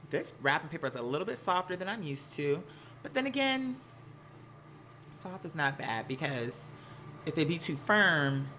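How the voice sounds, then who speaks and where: A live stream host with an American accent chatting casually and gossiping, sassy and animated, slangy and rambling from one aside to the next. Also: medium pitch, unhurried, long pauses, slight background noise. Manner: sassy, slangy, peppered with "girl" and "honey". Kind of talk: casual live chat to viewers, gossipy commentary.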